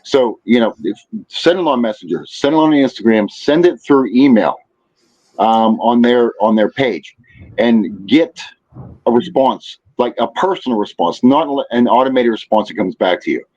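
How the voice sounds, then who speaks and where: A man's voice: mid-range voice, normal speed, a little mellow. Man chatting, dull lo-fi call audio. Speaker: podcast guest. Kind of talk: casual chat giving practical advice.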